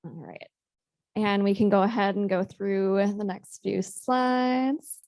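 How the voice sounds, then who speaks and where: A woman's voice: mid-range voice, slow, darkish tone, no echo, studio quality. A woman occupational therapist giving a practical presentation to a club, walking the audience through simple exercises in a friendly, instructive way. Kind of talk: health talk to a club.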